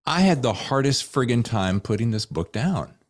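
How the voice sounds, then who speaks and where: A man's voice: mid-range voice, very animated, normal speed. A man hosting a podcast interview in an effusive, showy, emphatic conversational style. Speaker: podcast host.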